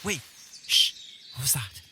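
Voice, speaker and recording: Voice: measured pace, noisy background, lightly acted. An actor playing a reckless but protective older brother, a young boy adventurer, earnest in an audio drama. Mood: urgent, awed.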